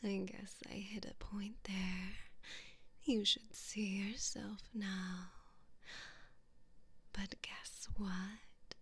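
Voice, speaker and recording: A woman playing a cruel, haughty evil queen in a whispered ASMR roleplay, taunting and condescending in a breathy voice. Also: mid-range voice, level tone, extremely slow, pauses often, fairly bright tone, exaggerated voice. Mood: mocking, smug.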